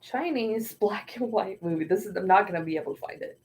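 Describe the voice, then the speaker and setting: A woman's voice: mid-range voice, a little fast, a little mellow. A young woman streaming and casually answering chat, often trailing off while searching for words.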